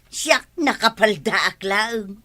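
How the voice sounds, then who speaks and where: A woman's voice: medium pitch, animated, takes it slowly, pushed and bright, full character voice. A woman with an Ilocano Filipino accent playing a stubborn, complaining village neighbour in a comedy drama.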